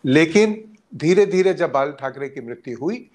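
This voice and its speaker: A man giving unscripted political analysis as a journalist, speaking haltingly with hesitant pauses mid-sentence. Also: fairly high pitch, animated, darkish tone.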